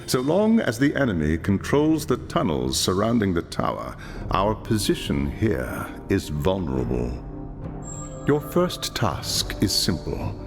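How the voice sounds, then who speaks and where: A grave elder elf lore master. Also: male voice, low-pitched, wildly swooping pitch, creaky, exaggerated voice. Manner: grave, commanding, measured. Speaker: quest giver. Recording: reverberant with game music behind.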